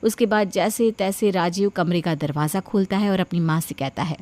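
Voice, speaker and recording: A woman narrating an animated moral story as a scripted storyteller. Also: on the low side, moderate pace.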